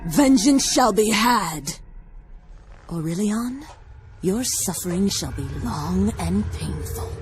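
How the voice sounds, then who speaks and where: A woman voicing a vengeful, imperious dark elf sorceress in a fantasy game, theatrical and menacing. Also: medium pitch, lively intonation, slow, music in the background. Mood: cold menace. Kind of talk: fantasy game cutscene acting.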